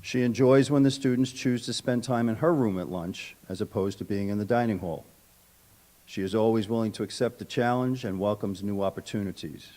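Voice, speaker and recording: A man's voice: on the low side, steady tone, brisk, warm tone. A man speaking as a school administrator, delivering a prepared tribute at a public meeting with some informal asides.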